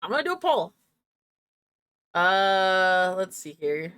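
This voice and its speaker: A woman's voice: mid-range voice, sing-song, slow pace, a bit bright, smooth. A woman hosting a game livestream, chatting casually and playfully to herself and her viewers in a giggly manner. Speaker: game streamer, host.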